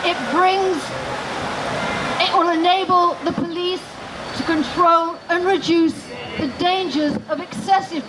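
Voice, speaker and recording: A woman giving a political campaign speech outdoors, in a deliberate, emphatic oratorical manner with pauses. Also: high-pitched. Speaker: campaign speaker. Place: outdoors in a city square. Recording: outdoor rally PA with loud city traffic noise. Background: loud street ambience and vehicles.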